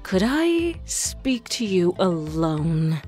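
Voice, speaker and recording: A woman playing a weary, cautious, pragmatic adviser in a fantasy audio drama, speaking in a measured, insistent way. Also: on the low side, big pitch swings, a little mellow, soft background music, heavily acted. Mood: exasperated, insistent.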